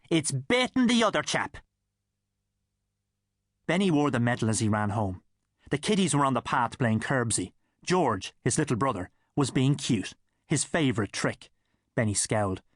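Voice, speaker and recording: Male Irish narrator for humorous audiobook: animated delivery, medium pitch, dry studio recording. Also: dry recording. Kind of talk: scripted comic story narration.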